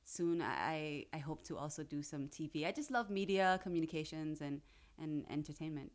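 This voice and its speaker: A woman's voice: low voice, moderate pace. Playful woman from Jamaica on the radio. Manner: chatty, laughs easily, playful. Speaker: radio presenter and guest. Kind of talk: radio interview. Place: radio studio.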